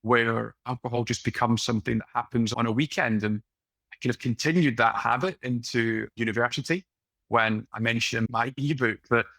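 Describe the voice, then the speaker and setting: A Scottish man in his late thirties, a podcast guest telling his story in a relaxed, conversational way over a video call. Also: medium pitch, vocal fry, dry recording. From west of Scotland. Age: late 30s. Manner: relaxed, unhurried storytelling with fillers.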